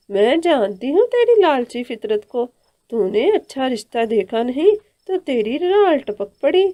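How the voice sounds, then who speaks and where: A woman's voice: high-pitched, dull audio. A woman voicing an outspoken, protective elder aunt bird in a cartoon, with a scolding, emphatic delivery. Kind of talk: Hindi children's cartoon dialogue.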